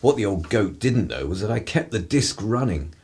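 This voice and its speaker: A man acting a cynical 1930s English broadcaster for radio drama. His voice is low and husky, swinging widely in pitch as he delivers world-weary, mischievous mockery in upper-class phrases. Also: very animated, normal speed, darkish tone, full character voice. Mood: sly, confiding.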